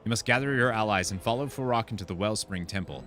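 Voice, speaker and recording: Low-voiced man commenting on a game. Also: fast talker, fairly bright tone. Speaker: gaming content creator. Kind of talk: casual game commentary, reading quest text aloud. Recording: faint game music behind. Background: game music and sounds.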